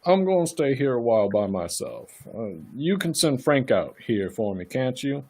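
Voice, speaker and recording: Radio drama lawyer, played by a man: mid-range voice, brisk, self-assured, talks down to people. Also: mid-range voice, very animated, mellow. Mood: businesslike, dissatisfied. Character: self-assured, condescending county attorney. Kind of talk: radio play acting.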